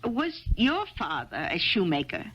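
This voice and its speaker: A woman's voice: lowish voice, wildly swooping pitch, measured pace, a bit bright, a little hiss or hum, exaggerated voice. An actress playing a dry, kindly, plain-spoken woman tailor in a 1970s radio drama. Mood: wry, patient. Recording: dull vintage 1970s radio broadcast.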